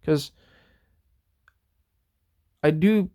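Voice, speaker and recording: A young man talks slowly in a smooth, clear voice pitched a little high. He rambles and thinks aloud on his own, drifting from one tangent to the next. The sound is dry and close. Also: dark tone, no echo. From Norway. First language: Norwegian. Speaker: podcast host. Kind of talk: casual solo monologue.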